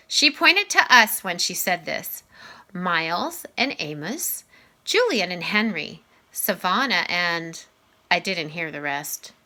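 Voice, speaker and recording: A woman reads a children's novel aloud with a very lively, swooping delivery. Her voice is bright and forceful, and she takes her time, leaning on key words for drama. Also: medium pitch. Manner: animated, dramatized dialogue with emphatic delivery. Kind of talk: expressive read-aloud storytelling.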